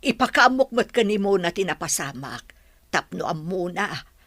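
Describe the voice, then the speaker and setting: A woman's voice: on the low side, sing-song, normal speed, fairly bright tone, dry recording. A woman with an Ilocano accent playing a concerned grandmother in a radio drama. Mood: concerned, gentle. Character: grandmother consoling her troubled daughter and grandchild.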